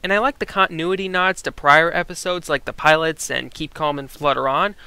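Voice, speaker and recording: Bright, higher-pitched male reviewer. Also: fairly even intonation, fairly quick, gravelly, clear, resonant tone, dry recording. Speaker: co-host reviewer. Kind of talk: conversational scripted review commentary.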